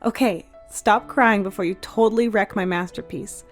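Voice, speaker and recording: A woman voicing a supportive young sister in a storybook, soft-spoken, reassuring and a little teasing. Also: mid-range voice, moderate pace, voice pushed up, close to an everyday voice. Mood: playful. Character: supportive older sister, young, playful and tender. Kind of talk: dramatic audiobook character dialogue. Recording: music behind the voice.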